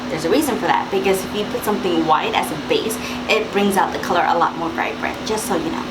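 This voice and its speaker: A young woman with a raspy morning voice, chatting and instructing through a makeup tutorial at home. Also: on the low side, fast talker. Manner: chatty, instructive, with fillers and asides. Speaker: makeup vlogger. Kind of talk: makeup tutorial talk to camera. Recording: loud background hiss and air-conditioner hum.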